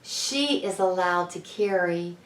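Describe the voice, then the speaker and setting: A woman author reading a storybook aloud for children, speaking deliberately and addressing young listeners directly. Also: on the low side, expressive. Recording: echoey room, slightly distant mic.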